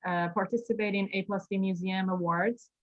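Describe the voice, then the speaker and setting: A woman in her thirties from Iran with a Persian accent, speaking casually and a little haltingly as an interview guest. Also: mid-range voice, fairly even intonation, highs cut off. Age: 30s. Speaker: architect, award-winning interview guest.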